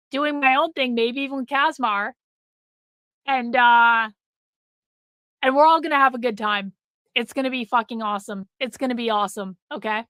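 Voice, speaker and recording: Middle-aged woman streaming to chat, high bright voice, going hoarse, swears a lot, dry close sound. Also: no room sound. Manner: blunt, swears freely, repeats herself for emphasis. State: losing her voice. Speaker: livestream host. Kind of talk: casual livestream talk to chat.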